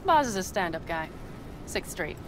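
Casting: blunt, hot-headed young female nomad in a video game, lively delivery, noisy vehicle ambience. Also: normal speed. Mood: guarded, sarcastic. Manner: blunt, sarcastic, defiant. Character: hot-tempered, foul-mouthed young nomad driver woman. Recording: clearly noisy with vehicle rumble.

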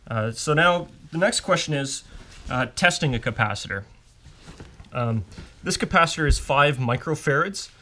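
A man's voice: on the high side, lively intonation, normal speed, pushed and bright. A man giving a casual, step-by-step technical demonstration as an instructor at a workbench. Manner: informal, explanatory, step-by-step. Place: indoors at a workbench.

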